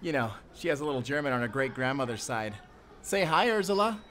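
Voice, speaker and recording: An actor voicing a chatty, naive American tourist husband in an audio drama, with an American accent and a goofy, over-eager, rambling manner. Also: male voice, medium pitch, sing-song, a little fast, fairly bright tone, slight background noise, pitched above the performer's own voice. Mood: gushing, goofy. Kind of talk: audio roleplay acting.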